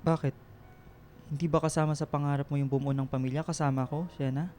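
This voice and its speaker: Man acting a mellow, insistent Filipino boyfriend. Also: a little high, steady tone, fast, slight background noise, lightly acted. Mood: doubtful, hurt. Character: older boyfriend, insistent, eager to marry and start family. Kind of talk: radio drama acting.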